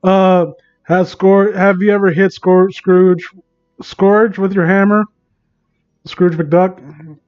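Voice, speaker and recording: A man doing a casual livestream reading and commentary, exasperated, sarcastic and sweary, with a halting delivery. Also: sits high, level tone, clean tone, lo-fi sound. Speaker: live streamer reading and mocking online fan fiction.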